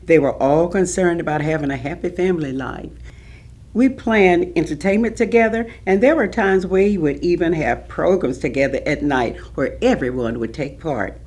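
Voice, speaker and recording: A woman's voice: low voice, normal speed, a little mellow, breathy edge. A woman playing a poised grandmother in a staged reading, recalling family life in a composed, articulate manner. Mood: fond, composed. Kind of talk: staged reading of oral history.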